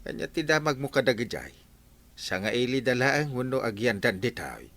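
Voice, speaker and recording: Actor as a lively gossiping village man. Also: mid-range voice, moderate pace. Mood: curious, gossipy. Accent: Ilocano. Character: animated gossiping village man swapping news. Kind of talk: Ilocano comedy radio drama acting.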